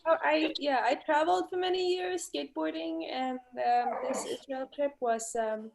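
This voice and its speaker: A woman's voice: sits high, normal speed, creaky, background noise. A woman from Sweden introducing herself on a video call, hesitant and full of ums. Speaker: guest. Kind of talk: casual self-introduction.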